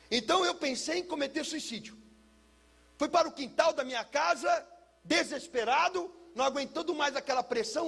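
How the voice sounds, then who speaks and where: A Brazilian man speaking as a pastor, telling a personal testimony in an emphatic, theatrical way with deliberate repetition. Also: sits high, a bit bright, husky. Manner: emphatic, theatrical, uses pauses and repetition for effect. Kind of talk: personal testimony, dramatic storytelling to listeners.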